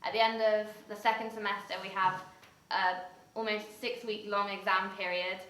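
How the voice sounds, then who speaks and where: A woman's voice: mid-range voice, little pitch movement, normal speed, fairly bright tone. A young woman with an English accent, an exchange student giving articulate, upbeat interview answers. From England. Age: young adult. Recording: echoey room, mic at a distance.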